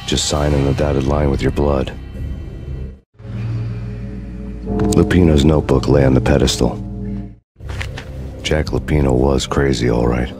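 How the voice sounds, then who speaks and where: An actor playing a world-weary, sardonic avenger, narrating a noir game story in a deadpan, hardboiled manner. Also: male voice, extremely low pitch, moderate pace. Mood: grim, sardonic. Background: music.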